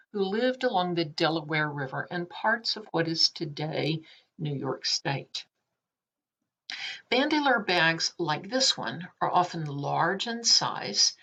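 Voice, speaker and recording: A woman's voice: fairly low pitch, fairly bright tone, slightly rough. A woman teaching art history by reading an essay aloud. She reads carefully and unhurriedly, with small slips and self-corrections. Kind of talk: read-aloud lesson.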